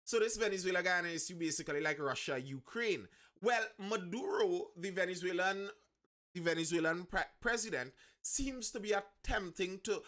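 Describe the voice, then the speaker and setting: A Trinidadian man hosts a live news stream in a bright, forceful voice that sits a little high. He comments conversationally to his viewers, circling back to rephrase and repeat his points as he goes. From Trinidad and Tobago.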